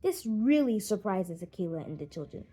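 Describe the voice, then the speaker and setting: A woman narrating a children's audiobook in an expressive storytelling manner. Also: mid-range voice, normal speed, husky.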